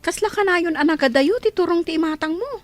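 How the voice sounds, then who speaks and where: An actress playing a caring older sister figure who questions and scolds gently in a radio drama. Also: female voice, very high-pitched, fast talker, faint music behind. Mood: concerned. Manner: probing, concerned.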